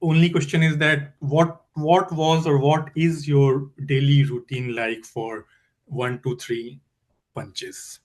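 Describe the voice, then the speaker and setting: Slow-spoken man leading a meeting. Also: on the high side, level tone. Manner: slow, with pauses between words. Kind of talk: casual online meeting talk.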